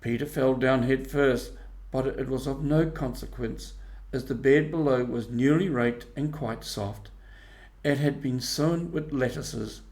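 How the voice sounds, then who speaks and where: A man's voice: mid-range voice, a little slow, darkish tone. A man narrating a scripted children's story as a storybook reader.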